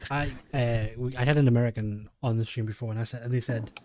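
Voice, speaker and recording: A man's voice: medium pitch, fairly even intonation, fast talker, a little mellow, slight background noise. A man with a Geordie accent hosting a podcast, chatting casually and often restarting his sentences. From England. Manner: rambling, many restarts. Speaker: podcast host. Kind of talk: casual chat.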